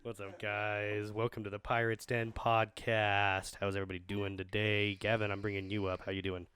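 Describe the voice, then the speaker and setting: This is a young adult man hosting a casual chat podcast, speaking into a studio microphone. His voice sits a little low and his talk rambles, full of restarts and crude humor. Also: little pitch movement, moderate pace, a bit bright, smooth, no room sound. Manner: laid-back, rambling with restarts and crude jokes. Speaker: podcast host. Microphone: XLR studio mic.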